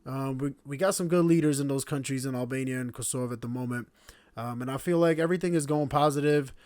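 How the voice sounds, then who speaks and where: Man in his 30s, casual podcast host, proud and relaxed, repeats himself, dry close sound. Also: medium pitch, fast, no room sound. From born in Slovenia, raised in Connecticut. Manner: relaxed, proud, repetitive phrasing. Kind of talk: casual monologue to camera. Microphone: camera mic.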